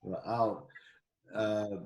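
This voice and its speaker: A middle-aged man speaking as an interview guest, telling personal stories in an animated, conversational way. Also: fairly low pitch, extremely slow, muffled recording. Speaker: guest, homeschooling parent.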